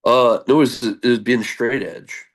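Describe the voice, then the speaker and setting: A man's voice: mid-range voice, normal speed. A man in his thirties, a wrestler talking as a guest in a casual interview, rambling with lots of fillers and restarts, candid and earnest.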